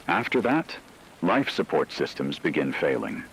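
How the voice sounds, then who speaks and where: A man playing a grave, formal radio news anchor, reading an emergency broadcast in a measured, authoritative way. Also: rumbling low, very animated, fairly bright tone, a little hiss or hum, exaggerated voice, low-quality recording. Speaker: news announcer.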